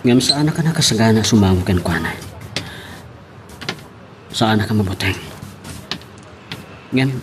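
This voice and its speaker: Low-voiced earnest suitor. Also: male voice, animated, a little slow, long pauses, over music. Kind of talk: Ilocano radio drama acting.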